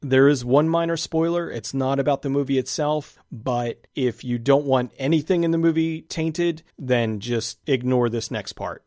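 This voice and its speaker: A man giving a casual, enthusiastic movie review, his voice raspy and nearly gone. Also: medium pitch, moderate pace, few pauses, a little mellow, dry recording, studio quality. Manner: enthusiastic, conversational, casual swearing.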